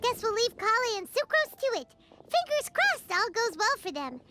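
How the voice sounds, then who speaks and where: A woman voicing a chirpy, tiny, childlike fairy companion girl with a squeaky voice in a video game. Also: very animated, moderate pace, very noisy. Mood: perky.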